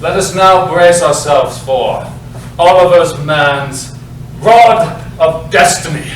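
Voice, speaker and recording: A man's voice: on the high side, takes it slowly. An actor playing a pretentious, self-important playwright who presents his play with theatrical pomp at a staged reading. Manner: pompous, theatrical. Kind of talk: staged reading, mock-grand introduction to audience. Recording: very echoey hall, distant, noisy.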